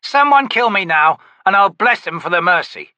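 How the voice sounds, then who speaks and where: A man voicing a rough, grumbling male sergeant with a growling, rustic way of talking in an audiobook. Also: high voice, lively intonation, moderate pace, sharp tone, dry recording, muffled recording, voice pushed up, heavily acted.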